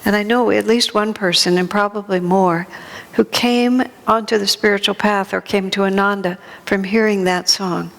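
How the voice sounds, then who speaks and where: A woman's voice: medium pitch, steady tone, moderate pace, creaky. A woman giving an informal spiritual talk to a gathering, reflective and searching for her words as a teacher. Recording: long hall reverb.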